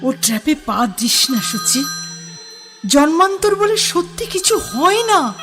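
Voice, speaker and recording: A woman's voice: high-pitched, sing-song, unhurried, music in the background. An actress playing a protective older colleague who firmly warns and pleads with a younger woman in a radio drama. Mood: pleading. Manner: firm, pleading, warning.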